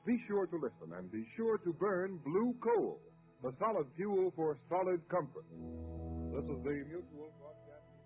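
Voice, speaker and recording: A man's voice: fairly high pitch, lively intonation, moderate pace, faint music behind. A male radio announcer with an emphatic, salesman-like delivery in 1940s radio style. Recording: dull old radio broadcast.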